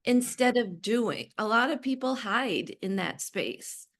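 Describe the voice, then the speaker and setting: A woman's voice: a little high, lively intonation, slow pace, a bit bright. A woman talking casually and reflectively as a podcast guest, blunt and emphatic, repeating short phrases. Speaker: podcast guest, business owner. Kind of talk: casual reflective interview talk.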